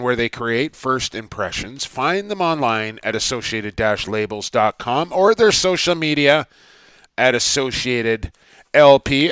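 Guy cohosting a podcast, bit high for a man, smooth resonant voice, dry close mic, rambly and self-mocking. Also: a bit bright, no echo. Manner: rambling, self-deprecating storyteller. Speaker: podcast co-host. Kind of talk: casual podcast chat.

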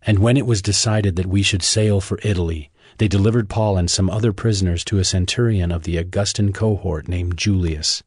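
A man narrating a dramatized audio Bible as scripted storytelling, measured and solemn. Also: low voice, fairly even intonation, darkish tone, breathy edge, dry recording, clean studio sound. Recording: narration over prominent music score.

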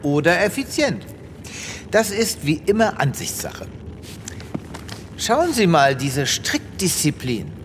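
An actor playing a vain, upbeat space veterinarian in an audio drama, theatrical and full of wonder. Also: male voice, a little high, huge pitch swings, slow pace, long pauses, background noise, heavily acted. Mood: breezy, reasoning. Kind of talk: German audio drama acting. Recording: long reverb, faint vehicle-like ambience.

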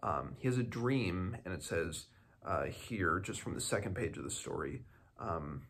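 A man's voice: deep voice, lively intonation, a little slow, creaky, a bit bright, breathy edge. A man in his twenties giving a casual, unscripted book review to camera in a small home room, thinking aloud hesitantly and often restarting his sentences. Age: 20s.

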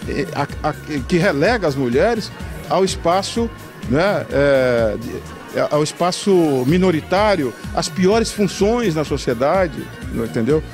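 A man's voice: fairly high pitch, expressive, slow, faint music behind. A middle-aged man giving an interview, speaking emphatically and listing his points, into a handheld reporter mic in a large meeting hall. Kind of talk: interview, political commentary.

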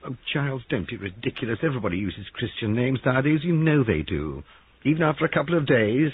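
Man voicing an anxious young wife, pitched up. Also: sing-song, a little fast, slight background noise. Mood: defensive. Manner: breathless, pleading, stammering. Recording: narrow-band lo-fi radio-like sound.

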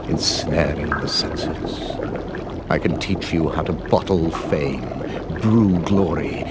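A cold, contemptuous English potions master for a fantasy game. His voice is extremely low, and he speaks with deliberate, lofty disdain. Loud noise sits behind him. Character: cold, contemptuous middle-aged male wizard potions master. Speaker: potions teacher.

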